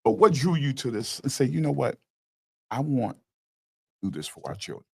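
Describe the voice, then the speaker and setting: A man hosting a talk show and interviewing guests in a studio, animated and casual, often restarting his words. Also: mid-range voice, normal speed, creaky, breathy edge. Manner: animated, laughing, stumbles over restarts.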